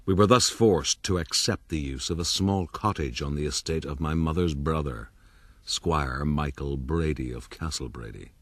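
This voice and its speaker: An actor playing a pompous, wry Irish gentleman rogue narrating his life in a radio drama. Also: extremely low pitch, moderate pace, no echo. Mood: self-satisfied.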